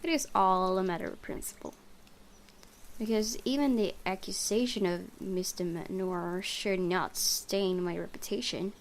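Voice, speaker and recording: A woman's voice: mid-range voice, measured pace. Woman gamer reading aloud, faint background noise. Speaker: video game streamer. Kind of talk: reading game text aloud with casual commentary.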